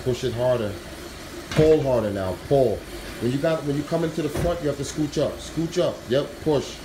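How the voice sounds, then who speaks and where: A man filming on his phone in a small home gym calls out short, repeated workout commands in loose, slangy speech. His voice sits at an ordinary middle pitch. The phone audio picks up clear background noise. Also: normal speed. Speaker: workout coach. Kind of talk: coaching commands during exercise. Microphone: handheld phone camera.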